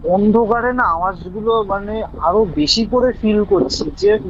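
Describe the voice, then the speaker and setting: A young man with a West Bengal Bengali accent telling a personal story casually over a phone call, animated and dropping English words into his speech. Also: high voice, measured pace. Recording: dull lo-fi call audio, faint vehicle-like noise.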